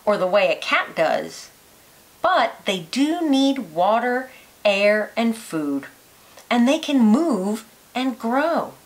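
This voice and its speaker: A woman with a fairly high voice reads a children's science book aloud. Her delivery is slow and careful, with lots of rise and fall in the melody so young listeners can follow each phrase. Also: very animated, slight background noise, low-quality recording.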